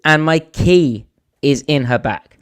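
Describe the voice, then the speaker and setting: A man with a hoarse voice, co-hosting a podcast and rambling through stories in casual chat. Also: fairly high pitch, takes it slowly. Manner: rambling storyteller, animated when telling a story. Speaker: podcast co-host.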